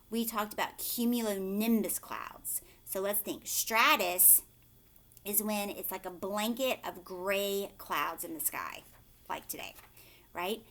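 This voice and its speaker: Animated woman teaching young children. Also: mid-range voice. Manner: expressive, addressing young children with simple questions. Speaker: teacher reading aloud to children. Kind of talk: children's read-aloud lesson, explaining and asking questions. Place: living room at home, indoors. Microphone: webcam or laptop at arm's length.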